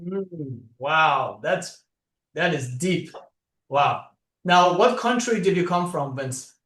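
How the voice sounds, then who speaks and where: A man's voice: fairly high pitch, expressive, takes it slowly, a bit bright. A man from Iran hosting a podcast, reacting with "wow" and asking long, searching questions. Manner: frequent "mm" backchannels, enthusiastic reactions. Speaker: podcast host. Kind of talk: conversational podcast interview. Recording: echoey room.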